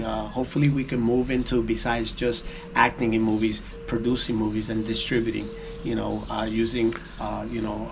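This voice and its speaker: Halting man, fillers, noisy recording. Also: mid-range voice. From United States. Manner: halting, full of fillers and restarts. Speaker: guest actor and producer. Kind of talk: casual interview.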